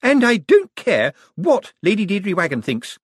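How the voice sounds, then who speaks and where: A man voicing a flustered, indignant woman servant, high and loud. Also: wildly swooping pitch, measured pace, breathy edge, dry recording, studio quality, heavily acted. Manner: high-pitched, loud, defensive.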